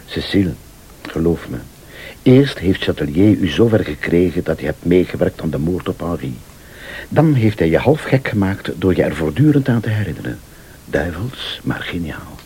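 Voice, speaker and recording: An actor playing a shrewd, insistent accuser in a radio thriller, speaking formally and with deliberate insinuation. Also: male voice, deep voice, very animated, dark tone, breathy edge, heavily acted. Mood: grave, insinuating. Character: male late-night accuser, shrewd, insistent, laying out a murder plot. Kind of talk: Dutch-language radio thriller acting.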